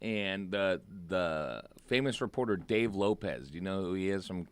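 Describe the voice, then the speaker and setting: Slightly hoarse, brash American radio host. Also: male voice, low voice, moderate pace. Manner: brash, teasing, animated storytelling. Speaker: host of a live cannabis growing radio show. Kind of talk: casual profane banter. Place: radio studio.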